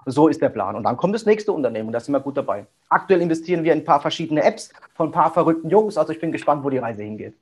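Fast-talking, energetic German businessman. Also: fairly high pitch, husky. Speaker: guest entrepreneur. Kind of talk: casual interview talk.

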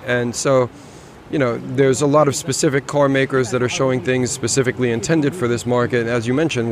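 A man chats in a relaxed, rambling way about what he has seen at a motor show. His voice sits at an ordinary middle pitch. A faint hum of the show floor runs under his voice. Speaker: car show reviewer. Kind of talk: casual walkaround chat. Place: busy motor show hall.

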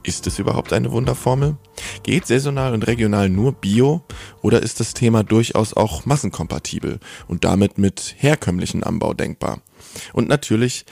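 A man's voice: on the low side, normal speed, gravelly, a little mellow, dry recording. A man hosting a podcast with scripted narration, chatty and fond of posing rhetorical questions.

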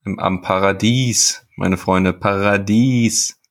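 A man with a deep, low-pitched voice co-hosts a podcast. He banters casually and jokingly, often repeating a word or two before the sentence gets going. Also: fairly even intonation, slow pace. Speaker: podcast co-host.

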